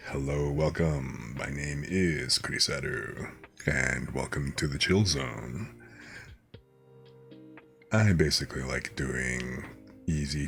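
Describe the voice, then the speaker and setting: A hoarse man hosting a live stream, chatting in a relaxed, rambling, soft-spoken way. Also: extremely low pitch. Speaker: streamer host. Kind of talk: laid-back stream chat.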